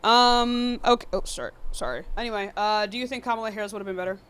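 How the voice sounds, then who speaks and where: A woman hosts a live debate stream, talking quickly with a bright, forceful voice. Her manner is blunt and dismissive, and she swears freely as she moves things along. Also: mid-range voice, animated, clean tone. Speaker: livestream debate host. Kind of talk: live debate stream, casual confrontational chat.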